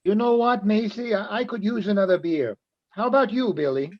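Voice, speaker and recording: A man's voice: sits high, brisk. A man playing a genial, slightly hesitant beer drinker in a radio play. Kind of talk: reader's theatre radio play acting. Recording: dull, muffled recording.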